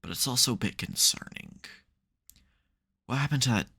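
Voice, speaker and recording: A man's voice: mid-range voice, expressive, normal speed, creaky, pushed and bright, husky, exaggerated voice. A man playing a smug, theatrical supervillain who secretly cares, in a dramatic, wry, chatty way for an ASMR roleplay. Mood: wry exasperation.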